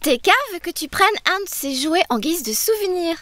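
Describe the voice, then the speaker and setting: A woman's voice: very high-pitched, animated, moderate pace, a bit bright, dry recording. A woman voicing an adventurous, curious young girl in a children's cartoon, speaking in an eager, exclamatory way. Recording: music under the voice.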